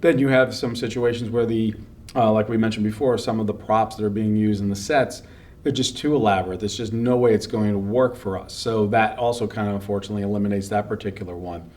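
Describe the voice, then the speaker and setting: A man's voice: on the low side, fairly even intonation, fast, a little mellow, far from the mic. A man explaining things in a wordy, casual way during an interview.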